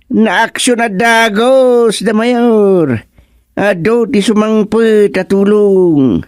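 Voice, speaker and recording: An actor playing a talkative, comic village man in a radio comedy drama, with exclamatory, drawn-out delivery. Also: sits high. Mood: earnest.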